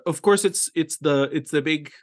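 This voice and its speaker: A man's voice: a little high, normal speed, dry recording, clean studio sound. A middle-aged man from Guatemala, an art director being interviewed, talking eagerly with many restarts and "right?" tags. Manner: enthusiastic, rambling with frequent restarts and "right?" tags. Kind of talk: casual interview conversation.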